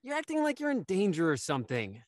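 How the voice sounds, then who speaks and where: Hungover young man, acted by a man, curt, sarcastic, irritable, lively pitch swings, dry close sound, audio drama. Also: quick pace, dry recording. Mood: irritable, dismissive. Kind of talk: naturalistic audio drama read-through. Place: home, on a video call.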